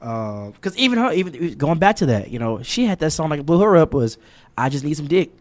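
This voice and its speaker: A man hosting a casual podcast interview in a studio, relaxed and slangy, reading a sponsor plug now and then. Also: on the high side, a little fast, dry recording. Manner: laid-back, loose slang, reads sponsor spots. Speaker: podcast host. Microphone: studio mic.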